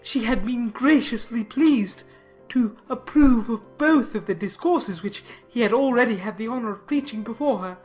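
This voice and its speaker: A woman puts on an obsequious young clergyman from a classic novel. The delivery is solemn, formal and full of self-importance. The voice is on the high side, with faint music underneath. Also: moderate pace, a little mellow, natural voice. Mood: pompous, flattering. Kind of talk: dramatized classic novel reading.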